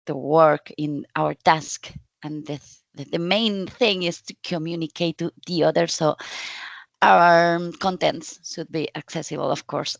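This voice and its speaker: A woman from Spain with a Spanish accent, giving a conference presentation in friendly, halting non-native English with frequent restarts. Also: fairly low pitch, creaky, dry recording, highs cut off. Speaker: conference speaker.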